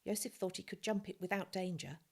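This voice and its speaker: A woman's voice: medium pitch, steady tone, fairly quick, slightly rough. A woman narrating a children's novel as a scripted reading.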